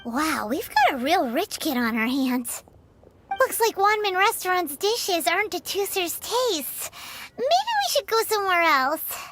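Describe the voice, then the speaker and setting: A woman's voice: very high-pitched, big pitch swings, a little slow, noisy background, heavily acted. A woman voicing a tiny, bubbly, cheeky female fairy companion in a video game cutscene, who talks about herself by name. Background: game music.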